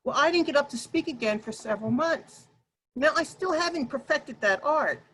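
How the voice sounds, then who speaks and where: A woman with a loud, projecting voice leads an online workshop, addressing her listeners directly. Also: high-pitched, lively intonation, normal speed, a little hiss or hum, poor audio. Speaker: workshop leader and Toastmasters speaker. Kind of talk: workshop presentation to an online group. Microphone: video call.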